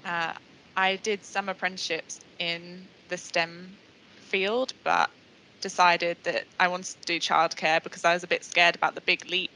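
A woman's voice: on the low side, little pitch movement, moderate pace, bright, forceful tone, slight background noise, poor audio. A young woman, a trainee technician, speaking in a shy, hesitant way on a video call. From Norfolk, England. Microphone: headset on video call.

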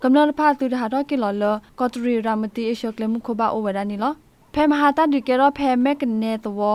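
A woman's voice: a little high, fairly quick, darkish tone. A woman presenting radio news, reading from a script.

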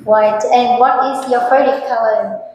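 A woman's voice: fairly high pitch, steady tone, normal speed, a little mellow, recorded from a distance. A young woman with a Vietnamese accent, an English teacher patiently asking and repeating speaking-test questions in a classroom on a headset mic. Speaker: English teacher examining students. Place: classroom, indoors. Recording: very echoey classroom, faint noise.

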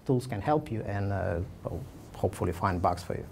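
A man's voice: low voice, animated, brisk, creaky, darkish tone, a little hiss or hum. A man in his thirties giving a conference talk with slides, speaking casually with many fillers and restarts. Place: meetup room, indoors.

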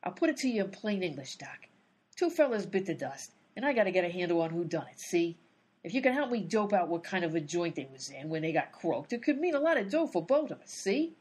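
A woman's voice: lowish voice, very animated, fairly quick, a little mellow, husky. A woman playing a brash, hot-tempered female private eye who wisecracks in 1930s gangster slang, in old-time radio comedy style. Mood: tough, irritated. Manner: wisecracking 1930s gangster talk, dropped grammar.

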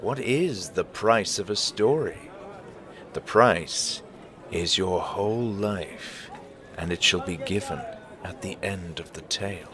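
An actor playing a mysterious, sly old vagrant who sells stories, speaking in a deliberate, theatrical, teasing way in an audio drama. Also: male voice, sits low, a crowd in the background. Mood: sly, ominous.

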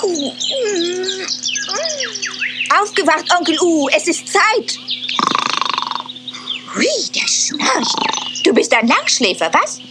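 A woman's voice: very high-pitched, slow, pauses often, over loud music, heavily acted. A woman voicing a curious, boastful young male squirrel in a children's audio drama. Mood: cheerful. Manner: lively, chirpy, boastful.

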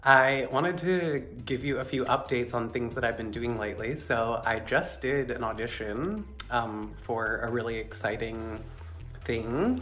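A man's voice: medium pitch, fairly bright tone. A man in his thirties chatting casually to camera in an upbeat, effusive way as a vlogger. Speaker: vlogger and musician. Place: small room indoors. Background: instrumental music.

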